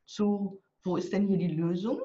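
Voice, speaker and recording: A woman's voice: mid-range voice, fairly even intonation, normal speed, warm tone. A woman of about sixty talking bluntly and with humor in a casual interview, describing how she coaches people. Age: turning 60. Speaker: guest, divorce coach and author. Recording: slightly dull lo-fi audio.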